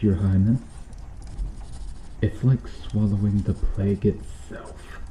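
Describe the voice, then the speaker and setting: A man playing a bitter, sardonic medieval knight in a slow, intimate ASMR roleplay, speaking deliberately with quiet menace. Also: sits low, creaky, exaggerated voice. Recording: roomy echo with faint noise.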